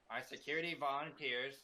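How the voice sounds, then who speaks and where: A man's voice: medium pitch, bright, forceful tone, poor audio. A man doing an amateur dramatic reading of script lines, stumbling and breaking out of character. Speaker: amateur reader of a film script part.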